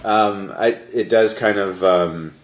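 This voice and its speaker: A man's voice: fairly low pitch, slow pace, lo-fi sound. Chatty man in a roomy echo. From Canada. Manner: laughs often, animated storytelling. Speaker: podcast co-host. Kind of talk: casual movie chat.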